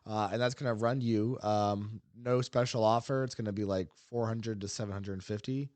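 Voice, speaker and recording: A man's voice: fairly low pitch, fairly even intonation, normal speed, a little mellow, no echo. Man chatting on a dry podcast. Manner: rambling, lots of ums and uhs, laughs easily. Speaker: podcast co-host. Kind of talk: casual podcast chat about a game.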